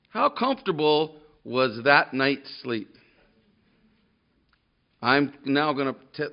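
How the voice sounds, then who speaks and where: A man preaching loudly and emphatically to a congregation, often breaking off and restarting his sentences. His voice sits a little high and has a gravelly edge. It comes through a dull, lo-fi sermon recording. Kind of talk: sermon with scripture reading. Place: church room with a congregation.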